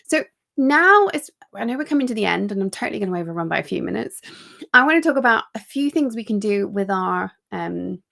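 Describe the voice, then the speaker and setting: A woman coaching a live online class, her mid-pitched voice carrying a gravelly fry. She talks in an energetic, chatty way, full of quick asides and ums. The sound comes through a computer mic on a video call. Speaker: executive coach. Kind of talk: live online training talk.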